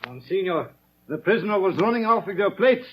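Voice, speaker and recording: A man's voice: sits high, big pitch swings, brisk, a little hiss or hum. A man playing a brusque, commanding police officer in a 1930s radio drama. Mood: officious. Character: brusque, barking police officer hauling in a prisoner. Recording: muffled vintage 1930s radio recording.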